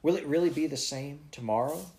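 A man doing an excitable little girl. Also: brisk, a little hiss or hum, natural voice. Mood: eager. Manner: breathless, wondering, raised pitch.

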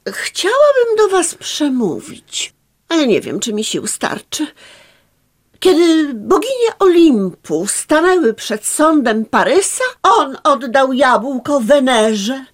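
An actress playing a nervous, sentimental older baroness, theatrical and tearful, in a radio play. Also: female voice, high voice, very animated, takes it slowly, full character voice. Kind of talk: radio drama acting.

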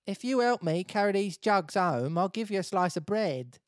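Kindly storybook water woman played by a female reader, coaxing, lifted pitch, dry sound. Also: lively intonation, normal speed, no room sound, natural voice.

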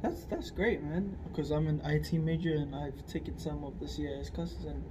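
A man's voice: on the high side, moderate pace. A man hosting a casual podcast interview, asking questions and giving short encouraging replies. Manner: friendly, with short encouraging backchannels. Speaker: podcast host. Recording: clear background noise.

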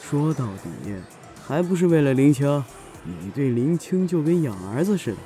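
A man's voice: on the high side, sing-song, normal speed, dark tone, faint music behind. An actor playing a chatty, cynical male best friend in a Chinese audio drama, needling and flippant. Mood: teasing.

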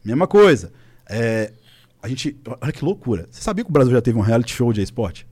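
A man from Minas Gerais chats as a podcast guest. He talks quickly and piles up his stories with filler words. His voice sits at an ordinary pitch for a man. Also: slightly rough. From Minas Gerais, Brazil. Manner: animated storytelling, frequent filler words. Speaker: podcast guest, esports coach. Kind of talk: casual podcast chat. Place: podcast studio.

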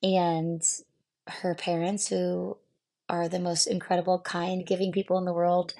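Gravelly-voiced woman therapist, losing her voice. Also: fairly low pitch, little pitch movement, warm tone. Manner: reflective, halting, many fillers. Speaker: therapist and podcast host. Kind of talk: solo podcast talk.

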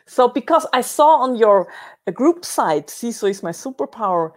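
A woman's voice: on the high side, very animated, moderate pace, a little mellow. Chatty, effusive woman interviewer. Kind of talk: casual conversational interview.

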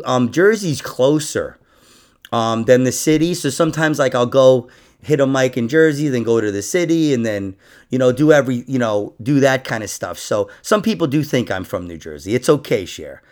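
A man's voice: medium pitch, animated, normal speed. A man hosting a casual comedy podcast, joking and rambling as he chats with his guest. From New York.

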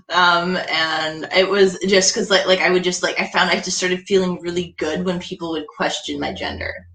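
A woman hosting a casual interview, upbeat and quick to laugh as she asks questions. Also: lowish voice, fairly bright tone. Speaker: host asking questions. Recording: roomy, echoey recording.

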